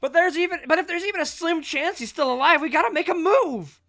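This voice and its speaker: A man's voice: very high voice, expressive, fast talker, sharp tone, pitched above the performer's own voice, exaggerated voice. A man voicing a hot-headed, fiercely loyal villain gang member while reading a manga aloud. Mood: urgent, fired-up. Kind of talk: dramatic manga read-aloud.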